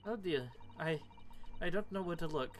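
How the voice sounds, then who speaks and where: A man's voice: on the high side, expressive, normal speed, faint music behind, voice pushed up, lightly acted. A man reading as a polite, earnest and wryly observant assistant in a fan dub of a detective game. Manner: formal, courteous.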